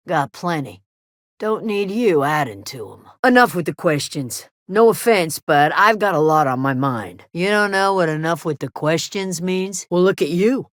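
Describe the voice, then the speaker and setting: A woman playing a gruff, guarded frontier settler who watches over a camp, terse and plain-spoken, in a video game. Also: lowish voice, dry recording, studio quality. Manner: terse, wary, plain-spoken with folksy drawn phrasing. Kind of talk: video game character dialogue.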